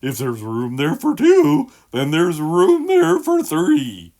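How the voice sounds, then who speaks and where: A woman acts a fresh, brash little storybook bird fellow. The voice jumps wildly between high and middling notes in bold, exclamatory bursts. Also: huge pitch swings, takes it slowly, gravelly, dark tone, full character voice. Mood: delighted, brash.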